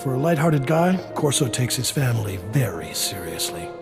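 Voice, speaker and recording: Fat underworld boss, creaky gruff voice, blunt, wry, harried, video game dialogue. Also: male voice, medium pitch, sing-song, moderate pace, music in the background. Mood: businesslike, wry.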